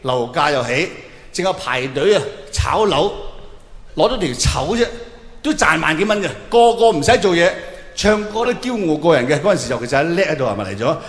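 A man with a Hong Kong Cantonese accent performing an animated, colloquial stand-up monologue to a live audience, acting out bits of dialogue. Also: fairly high pitch, fairly quick. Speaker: stand-up comedian. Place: large indoor venue with long reverb. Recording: echoey hall, live stage, faint noise.

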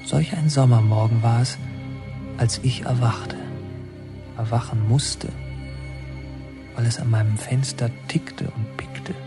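An actor playing a brooding, haunted young man who narrates his memories in a deliberate, halting, literary radio drama style. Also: medium pitch, fairly even intonation, over loud music. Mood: reflective. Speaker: narrator and protagonist.

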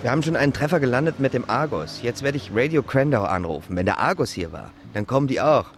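A man acting in a German radio drama as an eager young son who is his father's press agent, hurried and stammering when awkward. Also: medium pitch, expressive, slight background noise, lightly acted.

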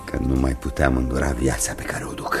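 An actor playing a haunted, secretive gentleman opium smoker in a radio play, speaking in a brooding, halting, dramatic way. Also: very deep voice, little pitch movement, over loud music. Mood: haunted, intense. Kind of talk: radio theatre acting.

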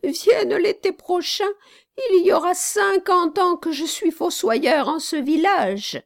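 A woman reading aloud, voicing a gruff, trembling old male gravedigger. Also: extremely high pitch, takes it slowly, voice pushed up, heavily acted. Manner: gruff then gentle, trembling. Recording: dry close audiobook recording.

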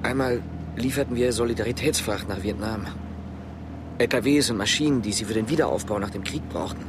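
A man's voice: fairly low pitch, a little fast, natural voice. A man playing a guarded, brooding East German policeman on the run in a radio drama, speaking tersely and with understatement. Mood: resigned. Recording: noisy, car and traffic sounds. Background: vehicle ambience.

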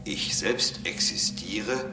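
An actor playing a self-assured, condescending robot philosopher in a radio drama, speaking in formal, pedantic statements. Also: male voice, on the low side, takes it slowly. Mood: coolly logical. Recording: echoing sound, music behind.

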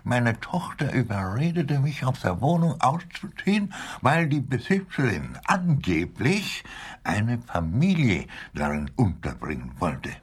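Husky, spiteful old man. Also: mid-range voice, very animated. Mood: aggrieved. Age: older. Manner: halting, agitated, with rhetorical questions. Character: spiteful, indignant old man from a retirement home. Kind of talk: radio play acting.

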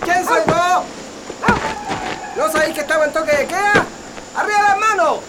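High-pitched, commanding Chilean soldier. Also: male voice, moderate pace, heavy background noise. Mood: stern, threatening. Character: commanding military patrolman, stern but helpful. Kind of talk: radio drama acting.

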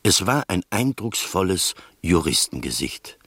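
A man playing the reflective narrator of an eccentric mystery tale in a radio drama, measured and deliberate. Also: sits low, sing-song, a bit bright, slightly rough, no room sound, heavily acted. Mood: reflective, intrigued.

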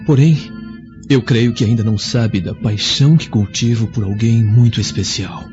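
Actor as a mellow-voiced Roman schemer. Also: male voice, mid-range voice, fairly even intonation, normal speed, natural voice. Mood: sly, insinuating. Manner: insinuating, smooth-talking, theatrical. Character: sly, scheming Roman suitor with hidden desire. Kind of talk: radio drama acting. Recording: muffled lo-fi audio, faint music behind.

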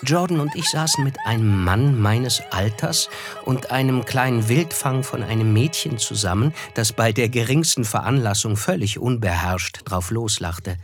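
An actor playing a polite young man who recounts his memories in a German radio drama, measured and reflective. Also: medium pitch, expressive, lightly acted. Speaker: narrator and character. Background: music.

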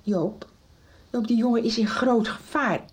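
An actress playing a shaken, insistent middle-aged mother, agitated and halting, in a radio soap. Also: on the high side, a little mellow, slightly rough. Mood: shaken, anxious. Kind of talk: Dutch radio soap acting.

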